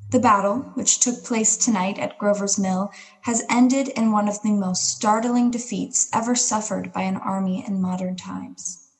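A woman's voice: medium pitch, lightly acted, poor audio. A woman playing a grave radio announcer, reading formal, solemn news bulletins in a radio play. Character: grave female radio announcer reporting an alien invasion.

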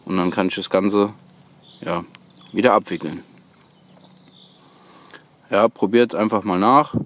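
A man giving a hands-on how-to demonstration outdoors, in halting, hesitant phrases. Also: lowish voice, a bit bright. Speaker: host of a bushcraft tutorial channel. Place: outdoors on a woodland floor, birdsong behind.

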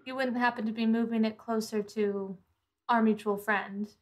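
A woman's voice: a little high, flat delivery, normal speed. A woman playing in a tabletop roleplaying podcast, mostly speaking in character. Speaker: player in an actual-play podcast.

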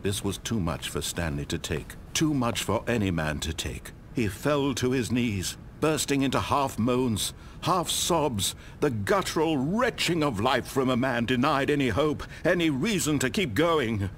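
A man's voice: mid-range voice, animated, a little slow, a little hiss or hum, close to an everyday voice. An actor playing a droll, pompous male game narrator, theatrical and wry in his scripted storytelling. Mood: measured, dry, then melodramatic anguish. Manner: theatrical, wry, mock-dramatic.

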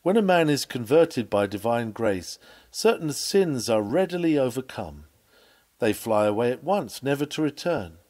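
A man reading an old sermon aloud in a measured, earnest, oratorical preaching delivery. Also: mid-range voice, big pitch swings, a little mellow. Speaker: reader of a historical sermon.

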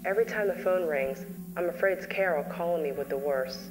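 A woman narrating an analog horror story in a slow, weary, grave voice. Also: on the low side, rough recording. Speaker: narrator, therapist. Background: music.

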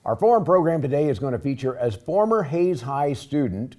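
A man's voice: a little high, very animated, fairly quick, mellow. An older American man hosting a local TV interview in a studio. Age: older, around 60s. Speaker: TV program host. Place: small TV studio, indoors. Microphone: lapel mic.

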